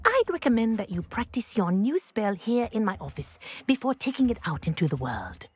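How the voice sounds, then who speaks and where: An actress playing a middle-aged flying professor in a video game, measured, instructive and encouraging. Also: female voice, fairly high pitch, sing-song, darkish tone, a little hiss or hum. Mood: calm instruction. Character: encouraging, brisk female flying teacher at wizard school.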